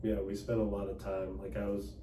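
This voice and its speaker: Low, flat-voiced man in an echoey room. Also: brisk. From Dallas, Texas. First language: Cantonese. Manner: relaxed, conversational, frequent fillers. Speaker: political candidate and attorney. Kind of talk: casual interview conversation. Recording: echoey room, distant mic, background noise.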